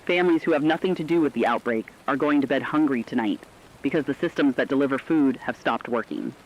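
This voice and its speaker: A man's voice: mid-range voice, quick pace, muffled recording, natural voice. A man playing a concerned, empathetic radio co-anchor, giving scripted commentary in an earnest, sombre, reflective way. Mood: troubled, earnest. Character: concerned, empathetic male radio co-anchor dwelling on human suffering. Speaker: news co-anchor.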